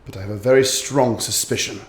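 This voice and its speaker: A man playing a brilliant detective who gives crisp, commanding explanations and grows excitable in an audio drama. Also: medium pitch, brisk, lightly acted. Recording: echoey room.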